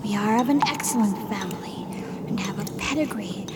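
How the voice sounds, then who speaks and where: A woman's voice: medium pitch, fairly quick, very noisy, natural voice. A woman playing a vain young dragonette with a formal, haughty manner in a radio play. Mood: haughty, offended. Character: haughty, vain young dragonette, female-voiced, tied in a cave. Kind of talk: radio theater acting.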